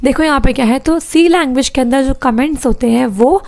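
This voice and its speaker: A woman's voice: sits high, a little fast, a little mellow. Hindi-speaking woman teaching with a sore throat. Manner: explains step by step, asks "clear?" and repeats key points. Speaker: programming teacher. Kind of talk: online exam-prep lecture.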